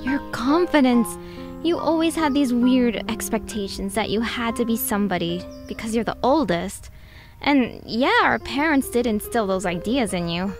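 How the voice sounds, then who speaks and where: A woman playing an earnest, caring younger sister, a student, in an audio drama, with an expressive and insistent delivery. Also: on the high side, normal speed, music in the background.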